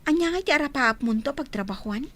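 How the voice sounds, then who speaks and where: Teasing young schoolmate acted by a woman, Filipino accent, radio comedy drama; high-leaning, very animated delivery. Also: quick pace. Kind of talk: village radio drama acting.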